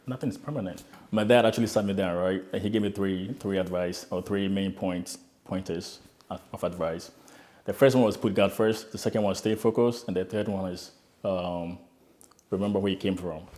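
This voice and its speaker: A man's voice: lowish voice, brisk, a little mellow. A young man, earnestly telling his own immigrant story in an interview, often repeating words as he restarts. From Ghana. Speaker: interviewee, immigrant start-up founder. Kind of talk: reflective personal story.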